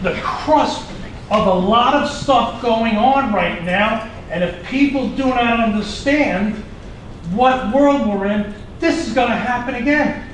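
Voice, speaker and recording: Brief: middle-aged New man, fired up and halting, high voice, far off in an echoey hall. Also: slight background noise. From United States. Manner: rambling, repeats himself, passionate and halting. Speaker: audience member asking a question. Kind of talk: emotional question from the floor at a symposium. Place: large conference hall.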